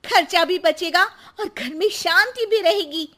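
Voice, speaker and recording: Sharp-tongued young wife played by a woman, shrill-high and unhurried, complaining through a moral cartoon tale. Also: exaggerated voice. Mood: irritated, complaining. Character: sharp-tongued, complaining young daughter-in-law in a family quarrel. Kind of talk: dramatised Hindi moral cartoon story.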